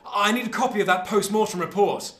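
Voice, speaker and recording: A man's voice: high voice, fast talker, a bit bright, echoey room, soft background music. A man playing a vengeful, grieving secret agent in a radio drama, emphatic and given to swearing. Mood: shocked, vengeful.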